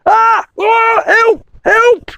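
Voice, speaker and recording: A man's voice: very high voice, level tone, drawn-out words, vocal fry, pushed and bright, dry recording, pitched above the performer's own voice, full character voice. A man voicing a panicked spider with far too many legs, crying out shrilly for help in a storybook tale.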